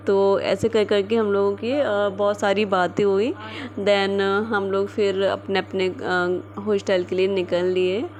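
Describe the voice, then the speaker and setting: A young woman narrates her day in a loose, chatty voice-over, stopping on fillers and mixing in English words. Her throat sounds a little sore. A faint room hum sits behind her. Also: medium pitch. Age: young adult. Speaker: medical student vlogger. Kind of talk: casual vlog voice-over narration.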